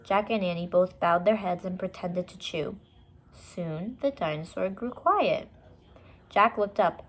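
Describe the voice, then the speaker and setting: A woman reading a children's story aloud, with expressive, deliberate and dramatic narration. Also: on the low side.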